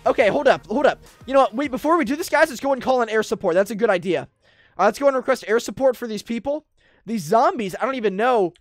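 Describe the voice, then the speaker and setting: A young American man doing casual, excitable roleplay gameplay commentary, chatting straight to his viewers at a close mic. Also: very high voice, fast talker, no echo, faint music behind. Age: young adult. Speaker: gaming video host. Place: indoor room gaming setup with webcam.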